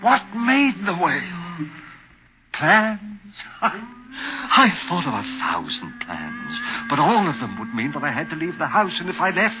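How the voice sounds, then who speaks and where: An actor playing a guilt-ridden, murderous husband in a melodramatic old radio thriller, brooding and given to frantic outbursts. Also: male voice, high voice, very animated, takes it slowly, full character voice. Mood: desperate agitation. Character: guilt-ridden murderous husband, desperate, haunted recluse narrating his crime. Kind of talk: 1940s radio thriller acting, dramatic first-person confession. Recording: muffled vintage 1940s radio recording with music.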